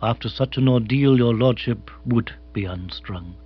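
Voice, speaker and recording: A man voicing an imperturbable, deferential English manservant in a comic storybook, formal, measured, precise and deadpan. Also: medium pitch, breathy edge, muffled recording, soft background music. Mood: unruffled, deferential.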